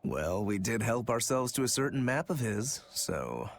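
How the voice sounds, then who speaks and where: Easygoing, wry game adventurer. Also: male voice, medium pitch, sing-song, measured pace, gravelly, a little hiss or hum. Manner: laid-back, dryly wry. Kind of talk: video game voice acting. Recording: music playing behind the voice.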